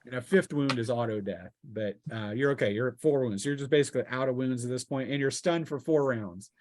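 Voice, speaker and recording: A man's voice: medium pitch, a little fast, darkish tone, a little hiss or hum. A man acting as game master, refereeing a tabletop game and narrating the action in a casual, improvised way with vivid touches.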